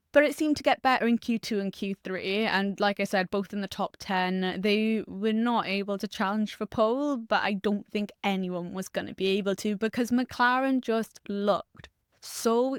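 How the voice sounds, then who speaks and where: A woman hosting a solo race review show, chatting candidly and laughing now and then, her voice a little croaky from a cough. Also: mid-range voice, dry recording, studio quality. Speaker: motorsport channel host.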